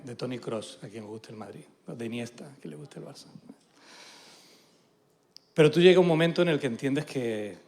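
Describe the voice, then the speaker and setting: A man interviewing church members during a service, lively and joking as he introduces them. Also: medium pitch.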